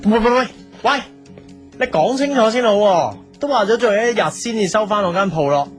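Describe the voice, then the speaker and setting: Hapless young male cafe owner played by an actor, Hong Kong Cantonese, lightly high voice with lively swings, defensive and brooding, drama over music. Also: a little fast. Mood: flustered, protesting. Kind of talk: audio drama with introspective narration and dialogue. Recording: music behind the voice.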